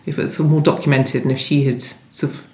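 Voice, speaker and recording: A woman's voice: a bass voice, fairly even intonation, quick pace, mellow. A woman novelist being interviewed, talking conversationally and thinking aloud, often hesitating and rephrasing. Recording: roomy, echoey sound.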